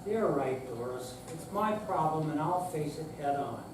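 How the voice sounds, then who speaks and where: A man's voice: fairly high pitch, lively intonation, unhurried. In a very echoey room, far from the microphone, there is an older man reading an elderly father. He is sheepish and a bit stubborn, speaking fondly to family in a stage reading with noise around him. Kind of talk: staged readers theater reading. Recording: echoey noisy hall, distant mic.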